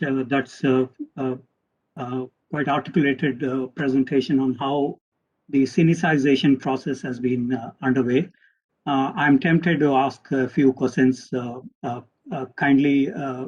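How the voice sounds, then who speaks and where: A man's voice: mid-range voice, steady tone, unhurried, a little mellow, husky. A man moderating a panel discussion, polite and hesitant, with heavy use of fillers between words. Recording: dull lo-fi call audio.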